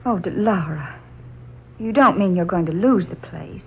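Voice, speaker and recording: An actress playing an excitable, caring young lady friend with a gushing, expressive delivery in a 1940s radio drama. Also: lowish voice, normal speed. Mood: concerned. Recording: muffled, noisy 1940s radio recording.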